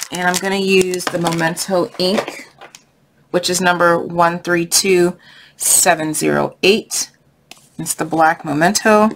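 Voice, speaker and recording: A woman's voice: on the low side, fairly bright tone. A woman hosting a casual craft tutorial, thinking aloud in a chatty, easygoing, unscripted way. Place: indoors at a craft table. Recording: noisy home recording with clicks.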